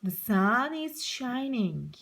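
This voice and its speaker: Very slow, high-voiced woman telling children's stories. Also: very animated, gravelly, a bit bright. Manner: deliberate, simply worded, question-and-answer teaching. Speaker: children's storyteller and teacher. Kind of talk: slow simple storytelling for young children, with questions.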